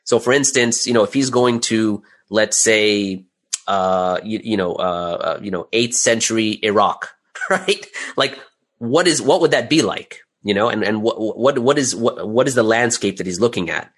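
A man's voice: fairly low pitch, animated, normal speed, a bit bright. A man speaking as an interview guest, a creator explaining his process step by step in a conversational way and posing rhetorical questions as he goes.

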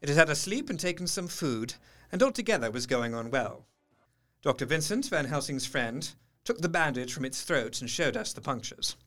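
A man's voice: on the high side, normal speed, soft background music. A man playing a proper, troubled Victorian doctor, narrating his own horror story formally and with feeling in an audio drama. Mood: sober recounting. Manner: formal, expressive. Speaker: narrator in character.

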